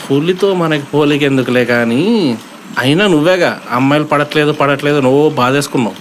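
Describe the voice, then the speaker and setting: A man's voice: on the high side, a little fast, slight background noise, natural voice. A man playing a teasing, scheming young college buddy in a comedy audio drama, with playful, mocking slang. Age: young adult.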